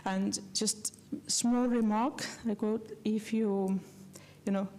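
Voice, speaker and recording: A woman giving a slide lecture in English, which is not her first language, talking earnestly and haltingly with many fillers and restarts. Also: medium pitch, fairly even intonation, mellow. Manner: earnest, personal.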